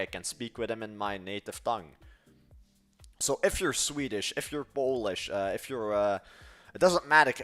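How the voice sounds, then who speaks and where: Young Dutch-accented man, low-voiced and rambling. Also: fairly bright tone. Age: young adult. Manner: rambling, self-correcting, chatty. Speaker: livestream host. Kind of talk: casual livestream chat.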